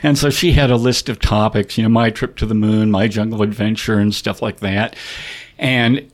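A man's voice: lowish voice, steady tone, moderate pace. An older man, an author guest with a slight Canadian accent on certain words, telling rambling, self-deprecating stories with many fillers in a library studio interview. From born in North Dakota, raised partly in Canada. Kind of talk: casual storytelling interview.

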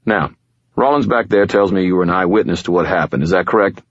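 Man playing a dry, low-voiced middle-aged detective. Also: quick pace, breathy edge. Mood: businesslike. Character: weary, skeptical homicide detective in his late forties. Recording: dull, roomy recording.

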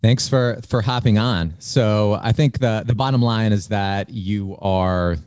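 A male podcast host reads a measured introduction with occasional small restarts before moving into conversation. His voice is fairly low and mellow in tone, recorded close and dry with no room sound. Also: smooth, no room sound. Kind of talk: scripted intro then interview.

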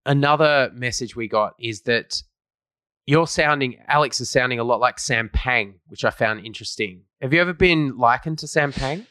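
A man's voice: mid-range voice, normal speed, bright, forceful tone, dry recording, clean studio sound. A man co-hosting a casual podcast, easygoing and joking, with mock-grand announcements of show segments. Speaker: podcast co-host.

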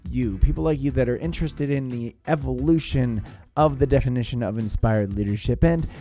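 A man's voice: medium pitch, a little fast, dark tone, faint music behind. A man hosting a podcast, upbeat and welcoming as he opens the show and introduces a guest. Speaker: podcast host.

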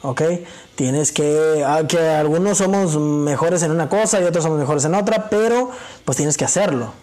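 A young man speaks as a podcast guest. His voice sits a little high for a man. He talks in a loose, chatty way and keeps filling his pauses. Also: a little mellow. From Mexico. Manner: chatty, many fillers like "este" and "vaya". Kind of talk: casual conversation.

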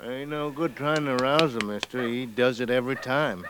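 An actor playing a sly, nosy, villainous American claim jumper in a 1950s radio western, talking in an insinuating, probing way. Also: male voice, mid-range voice, fairly even intonation, normal speed, close to an everyday voice. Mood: scornful. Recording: 1950s radio recording with faint noise.

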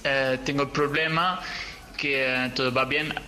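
A young man speaks in a bright, forward voice. He lays out a personal question earnestly, with faint music playing behind him. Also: mid-range voice, little pitch movement, unhurried, rough recording. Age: young adult. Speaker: caller sending a question to a TV show.

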